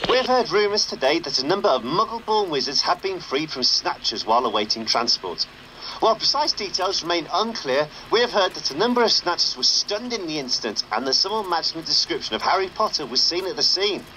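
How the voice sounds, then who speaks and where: An actor playing a defiant, excitable underground newsreader, heard as a radio broadcast in a video game. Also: male voice, on the high side, fairly quick, a little hiss or hum, rough recording. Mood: brisk, earnest. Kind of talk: radio news broadcast acting.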